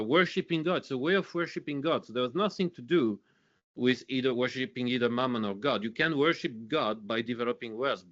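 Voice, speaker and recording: Man, earnest speaker, bright forward tone, slightly dull lo-fi recording. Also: mid-range voice. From Belgium. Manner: repeats and restarts, earnest. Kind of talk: teaching talk. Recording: dull lo-fi sound.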